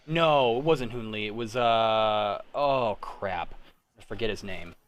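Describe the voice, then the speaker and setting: A man's voice: fairly low pitch, slow pace, a crowd in the background. A man in his thirties hosting a casual group chat, talking in an informal, rambling way and often interrupting himself. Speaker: host.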